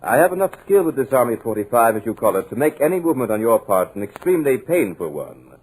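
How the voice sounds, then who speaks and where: An actor playing a cultured, sinister villain in a 1950s radio drama, with a husky, measured, mocking delivery in an old radio recording. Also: male voice, mid-range voice, very animated, darkish tone, poor audio. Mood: menacing. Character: sinister, cultured male villain holding a writer captive.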